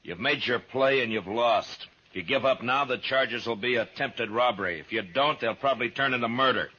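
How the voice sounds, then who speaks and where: An actor playing a stern, steady frontier marshal in a 1950s radio western, measured, terse and authoritative. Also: male voice, medium pitch, husky. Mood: firm warning. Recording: dull old radio recording with music.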